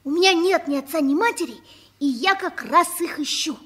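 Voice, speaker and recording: A woman playing an earnest, halting nine-year-old runaway orphan boy in a 1960s radio play. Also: extremely high pitch, expressive, fairly bright tone. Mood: pleading.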